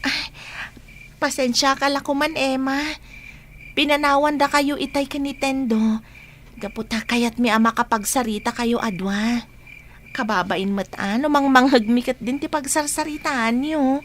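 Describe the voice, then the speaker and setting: A woman's voice: high-pitched, fairly even intonation, a little slow, a little hiss or hum. A woman with an Ilocano Filipino accent playing a chatty, apologetic young friend in a radio drama.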